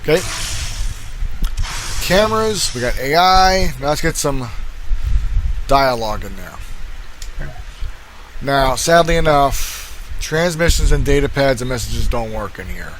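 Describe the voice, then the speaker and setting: A man's voice: medium pitch, expressive, unhurried, long pauses, a bit bright, low-quality recording. A man giving an informal video game tutorial, talking himself through each step as he works. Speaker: tutorial host. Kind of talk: casual screen-capture game tutorial, thinking aloud. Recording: clear background noise.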